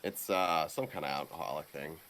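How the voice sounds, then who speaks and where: A man's voice: rumbling low, fairly quick, a bit bright, a little hiss or hum. A man chatting casually during a game playthrough.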